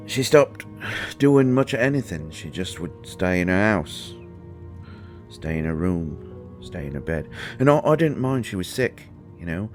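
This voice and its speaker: An actor playing a grieving, gruff and defensive fairy husband in a roleplay audio drama, speaking haltingly and with raw emotion. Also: male voice, sits low. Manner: halting, emotional, swinging from snappish outbursts to quiet murmurs.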